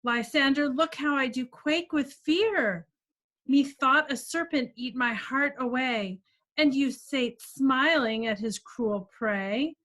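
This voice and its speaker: A woman's voice: sits high, slow pace, a bit bright, heavily acted. A woman playing a frightened young woman in a verse play read over a video call, fearful and urgent. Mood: panicked. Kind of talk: stage verse read aloud at a table read.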